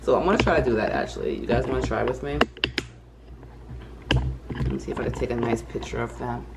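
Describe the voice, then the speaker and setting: A woman's voice: extremely low pitch, a little fast, creaky. A young woman chatting casually as a vlogger in her apartment, rambling and correcting herself as she goes. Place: apartment kitchen and living room. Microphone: camera mic from a few feet away. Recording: echoey room, faint room noise.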